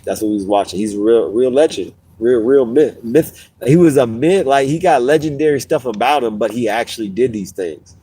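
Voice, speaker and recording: A man hosts a casual livestream and speaks straight to his viewers. His voice is warm and mellow, and he is a little stuffy from a cold. He tells stories in loops, repeating and restarting phrases as he goes. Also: medium pitch, normal speed, breathy edge. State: getting over a cold, sniffling. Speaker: podcast host.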